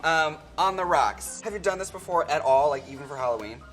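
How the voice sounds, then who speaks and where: Campy, animated drag queen man. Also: a little fast, faint music behind. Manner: campy, animated, big pitch swings on exclamations. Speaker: drag queen makeup artist, host. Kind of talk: casual chat, playful. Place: makeup studio.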